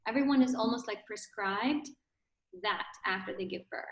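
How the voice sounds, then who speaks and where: A woman's voice: a little high, normal speed, echoey room. A woman in her thirties from Ukraine with a Ukrainian accent, a fitness studio owner explaining things casually in an interview, with frequent fillers and tag questions.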